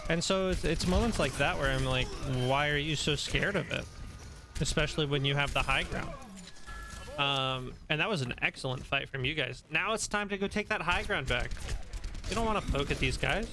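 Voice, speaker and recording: A man coaching players through a game replay, talking in a relaxed, instructive way with many ums, his voice a little nasal. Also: a little high, moderate pace, noisy background. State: slightly nasal, voice going.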